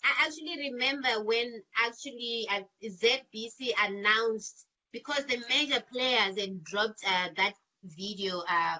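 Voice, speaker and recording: A woman's voice: a little high, measured pace, hardly any pauses, sharp tone. A woman co-hosting an interview, asking questions with frequent hesitations. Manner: hesitant, many uhs. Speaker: co-host interviewer. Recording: dull lo-fi sound.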